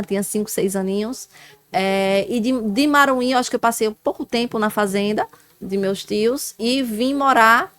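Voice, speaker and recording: A woman's voice: mid-range voice, no room sound. A woman in her forties from Alagoas with a Northeastern Brazilian accent, earnestly telling her life story as a podcast guest. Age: 40s. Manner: earnest, devout, with small restarts. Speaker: guest, former councilwoman and activist.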